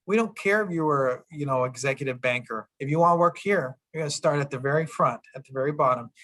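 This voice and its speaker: Man, bright forceful voice, earnest, storytelling, frequent restarts, official answering questions. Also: fairly high pitch. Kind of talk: virtual town hall talk.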